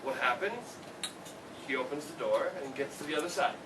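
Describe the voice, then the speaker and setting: A man's voice: medium pitch, lively intonation, moderate pace, lightly acted. A man playing an unimpressed, irritable slacker student with a casual manner in a live play reading. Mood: unbothered. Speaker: actor in a staged play reading. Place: read before a live audience, far camera. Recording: noisy, distant room recording.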